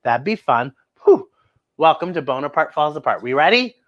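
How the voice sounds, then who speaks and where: A woman hosting a children's story time, talking and reading playfully to young kids, with exaggerated exclamations, drawn-out words and questions to the listeners. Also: low-pitched, big pitch swings, lo-fi sound. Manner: draws out words for emphasis. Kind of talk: playful read-aloud and chat to young children.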